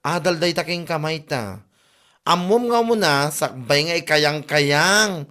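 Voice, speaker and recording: Comedy radio-play husband, male actor: Ilocano accent, fairly high voice, exclamatory and grumbling. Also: lively intonation, slow, sharp tone, heavily acted. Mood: exasperated banter. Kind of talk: comedy audio drama acting.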